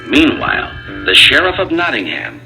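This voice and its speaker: A man narrates a children's storybook. His pitch is middling but moves in lively swings, giving an expressive read-aloud delivery, with music playing behind him. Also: normal speed, poor audio.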